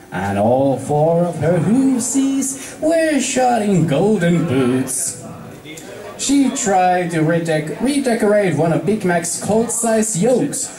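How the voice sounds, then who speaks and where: A man's voice: on the high side, darkish tone. A man with a Finnish accent reading a story aloud to a group as an amateur, stumbling over words and rereading them. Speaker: amateur fan fiction reader. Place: echoey room at a fan meet-up. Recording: echoey room with background crowd.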